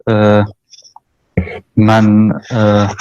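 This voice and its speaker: A man calling in to a live debate, answering hesitantly with many fillers and pauses. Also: on the low side, monotone, darkish tone, clean tone, no room sound, lo-fi sound. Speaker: caller.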